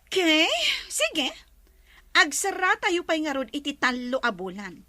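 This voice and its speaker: A woman with an Ilocano accent playing a bossy, haughty, wealthy resort owner in a radio drama, with drawn-out, imperious delivery. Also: high voice, very animated, pushed and bright, no room sound, clean studio sound, heavily acted. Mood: businesslike.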